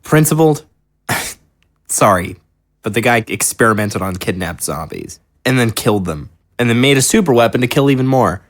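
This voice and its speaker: A man's voice: mid-range voice, lively intonation, normal speed, natural voice. An actor playing a bitter, sarcastic, argumentative young man in a naturalistic audio drama. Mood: sarcastic, indignant. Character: bitter, defiant, sarcastic son, a young male zombie.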